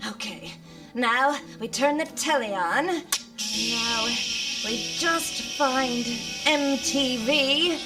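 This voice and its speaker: High-voiced commanding woman space captain. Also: animated, over music, exaggerated voice. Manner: deliberate, commanding. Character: brisk, commanding female spaceship captain taking charge. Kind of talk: comic sci-fi radio play acting.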